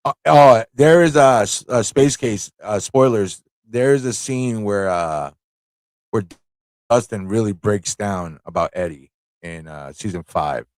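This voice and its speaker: A man's voice: lowish voice, a little slow, no echo. A man hosting a livestream fan chat, talking in a rambling, informal way with frequent rhetorical tag questions. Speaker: livestream talk show host.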